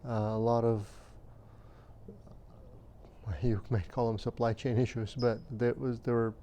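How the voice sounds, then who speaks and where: A man in his fifties from Romania, recalling his childhood in an interview at a measured, hesitant pace with frequent pauses and fillers. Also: medium pitch, level tone, mellow, lo-fi sound, slight background noise. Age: 50s. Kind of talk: recounting childhood memories.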